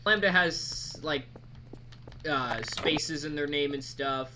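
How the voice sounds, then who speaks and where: A man giving a casual screen-recorded software tutorial, talking in a halting, rambling, informal way. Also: on the high side. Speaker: mod creator presenting tutorial. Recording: background noise, keyboard typing.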